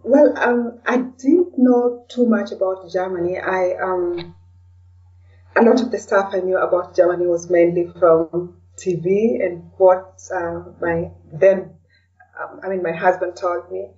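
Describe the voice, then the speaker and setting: A woman's voice: lowish voice, steady tone, a little slow, warm tone, recorded from a distance. A woman from Kenya with a Kenyan accent, answering interview questions casually and a little hesitantly, recorded away from the microphone with a dull sound. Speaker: podcast guest, blogger and podcaster.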